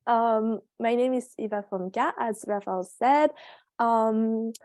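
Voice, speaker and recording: A teenage girl from Belgium whose first language is French presents over a video call. Her voice is high and mellow, and the sound is dry and close. She hesitates often, filling pauses with ums and uhs. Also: fairly even intonation, no room sound. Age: teens. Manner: hesitant, many ums and uhs, laughs easily. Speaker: presenter on a virtual tour. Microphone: computer mic on a video call.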